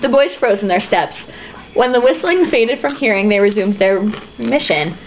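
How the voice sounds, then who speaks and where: A woman with a fairly high, very lively voice reads a story casually and irreverently, dropping in sarcastic, sweary commentary. The recording is a muffled, lo-fi home one with faint noise. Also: moderate pace. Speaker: host reading a novel aloud with joking asides. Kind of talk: informal comic read-aloud with casual, profane asides.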